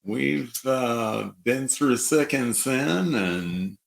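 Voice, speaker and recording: A middle-aged man from Dallas, Texas, co-hosting a podcast in casual conversation with a halting, reflective manner. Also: medium pitch, animated, gravelly. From Dallas, Texas, United States. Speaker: podcast co-host.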